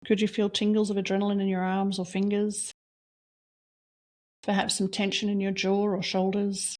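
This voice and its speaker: A woman leading a guided reflection exercise, asking slow, soft questions with long pauses between them. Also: medium pitch, completely flat delivery. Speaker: meditation guide.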